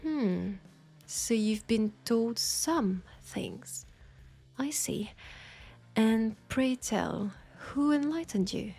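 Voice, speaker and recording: Casting: actress as teasing French-accented witch for intimate fantasy roleplay audio. Husky, gravelly edge, very slow delivery with dramatic pauses. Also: a little high, lively intonation, mellow, faint music behind, full character voice. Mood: teasing, curious. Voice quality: husky, breathy with creak. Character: knowing, teasing, compassionate witch in a secluded werewolf town.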